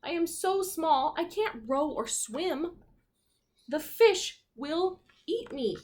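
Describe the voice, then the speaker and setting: A woman's voice: sits high, expressive, takes it slowly, a little mellow, pitched above the performer's own voice. A woman voicing a tiny male pirate captain from a storybook, helpless and plaintive. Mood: worried.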